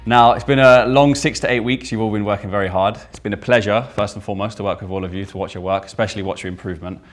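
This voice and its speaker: Fast formal man welcoming a group. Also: lowish voice, steady tone, faint music behind. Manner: formal, praising. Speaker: mentor welcoming contestants.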